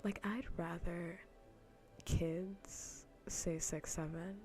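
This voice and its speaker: A woman streamer chatting playfully and casually in a soft, breathy, often near-whispered ASMR style, close to the microphone. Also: lowish voice, fairly even intonation, extremely slow, faint music behind. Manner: playful, rambling, half-whispered casual talk. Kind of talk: soft-spoken ASMR gaming livestream chat.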